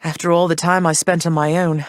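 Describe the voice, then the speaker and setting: An actress voicing a gentle, motherly goddess queen of the underworld, speaking warmly and graciously in game voice lines. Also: sits low, little pitch movement, brisk, no room sound, lightly acted. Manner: warm, gracious, softly reassuring. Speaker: voice actor. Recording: dry studio voice recording.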